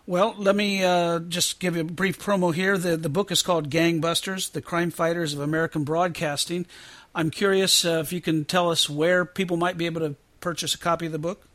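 Higher-pitched male interview host. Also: little pitch movement, fairly quick, fairly bright tone. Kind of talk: podcast interview hosting.

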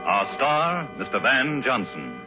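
A man's voice: medium pitch, huge pitch swings, slow. A man with an American accent reading scripted announcements and sponsor copy in 1950s radio announcing style. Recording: muffled 1950s radio broadcast, some music.

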